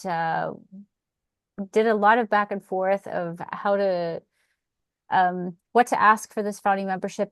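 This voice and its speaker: A woman's voice: mid-range voice, no echo, clean studio sound. A woman on a live call eagerly explaining a membership offer, with some hesitations. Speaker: team member presenting.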